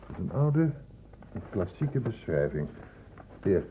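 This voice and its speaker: Strict doctor in an old radio play, blunt n urgent, lively pitch, kinda muffled sound. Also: male voice, a little slow, slight background noise. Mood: grave, reciting. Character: stern, insistent male doctor questioning young people. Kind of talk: Dutch radio play acting.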